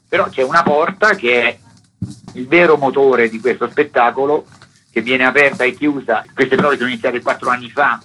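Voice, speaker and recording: A man being interviewed as a theatre performer, explaining his work emphatically in long, wordy sentences with a husky voice. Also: medium pitch, pushed and bright, rough recording. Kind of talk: reflective interview talk. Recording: radio interview broadcast.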